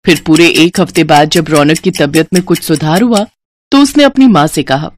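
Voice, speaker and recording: A woman narrating a scripted moral story in an expressive storytelling manner. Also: sits low, moderate pace.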